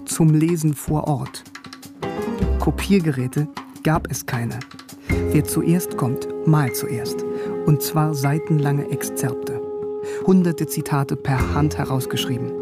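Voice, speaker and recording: Radio drama lead: bookish, cheeky East German student, young, lighter-pitched, wry first-person delivery over music. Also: male voice, slow pace. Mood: wry recollection.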